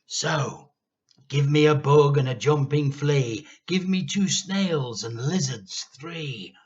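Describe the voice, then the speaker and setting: A man voicing a mischievous, defiant eight-year-old boy in a children's storybook, with excited, emphatic delivery. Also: on the high side, slow, muffled recording. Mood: excited, chanting. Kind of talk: dramatised children's storybook reading.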